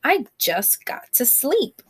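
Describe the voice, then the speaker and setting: A woman's voice: high voice, sing-song, takes it slowly, breathy edge, voice pushed up, full character voice. A woman voicing a sleepy male groundhog from a children's storybook, grumbling and complaining. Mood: grumpy, sleepy.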